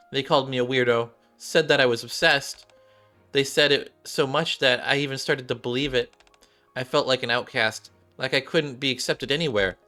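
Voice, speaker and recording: Male streamer as shy gamer girl, game character, earnest, halting, lifted pitch, faint music behind. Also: sharp tone, close to an everyday voice. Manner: halting, heartfelt, repeats and restarts. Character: earnest, nervous young android gamer girl, shy but devoted.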